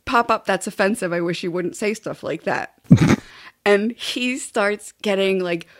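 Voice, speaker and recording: A woman's voice: mid-range voice, unhurried. A young adult woman from New Jersey telling a comedic family story, acting out quotes and laughing often. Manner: animated, laughing, acts out quotes. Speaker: guest storyteller.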